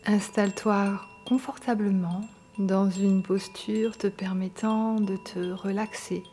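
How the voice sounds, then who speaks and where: A woman guiding a yoga nidra meditation in a calm, soothing and gentle manner. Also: mid-range voice, slow pace. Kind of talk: guided meditation narration. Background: soft meditation music with chimes.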